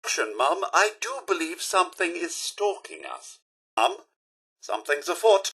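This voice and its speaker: A man's voice: on the high side, huge pitch swings, a little slow, a bit bright, slightly rough, heavily acted. A male actor voicing a fussy, loyal, easily alarmed English robot butler in a video game, with a prim, theatrical and politely deferential manner. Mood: concerned. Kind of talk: video game companion voice lines.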